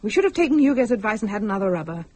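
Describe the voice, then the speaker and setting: An actress playing an uneasy, genteel young British wife with clipped, expressive speech in a radio thriller. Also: female voice, medium pitch, rapid-fire. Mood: irritated, uneasy. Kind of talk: radio thriller drama dialogue.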